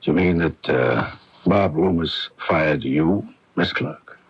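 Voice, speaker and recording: Gruff police lieutenant, very low raspy voice, swooping intonation, insistent, radio drama. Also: male voice, expressive, slow pace, full character voice. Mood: dry, polite. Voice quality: gravelly. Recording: dull lo-fi radio broadcast tape.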